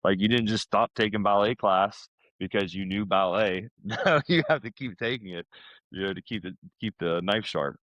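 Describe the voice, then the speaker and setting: A man with a deep voice talks as a guest on a podcast. His delivery is casual and chatty, full of informal phrasing, and the sound is dry with very little room on it. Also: normal speed, a bit bright, no echo, lo-fi sound. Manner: chatty, informal, peppers talk with "man" and "you know". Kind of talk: casual conversational podcast talk.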